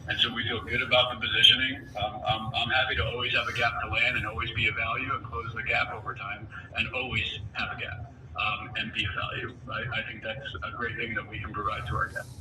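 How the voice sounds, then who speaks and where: Low-voiced executive man on a noisy, echoey call. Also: little pitch movement, fast. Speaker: company executive. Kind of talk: answering questions on an earnings call. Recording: noisy, echoey, distant conference call audio.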